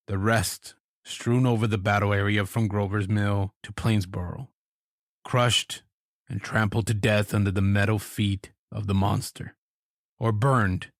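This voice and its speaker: Guy doing grave radio announcer in an audio play, lowish voice, dry close sound, solemn formal news reading. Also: dry recording. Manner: solemn, measured, formal delivery. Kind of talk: dramatic scripted radio news bulletin.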